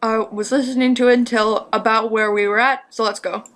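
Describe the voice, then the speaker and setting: A woman's voice: on the high side, steady tone, a little fast, fairly bright tone, slight background noise. A woman hosting casual reaction commentary, thinking aloud in an informal way. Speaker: reaction host.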